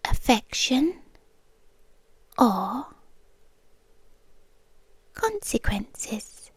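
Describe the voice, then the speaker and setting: A British woman playing a possessive, calmly menacing yandere girlfriend in an intimate ASMR roleplay, breathy and often dropping to a whisper. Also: a little high, no room sound, full character voice. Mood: quietly threatening. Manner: slow, deliberate, breathy, drifting into whispers.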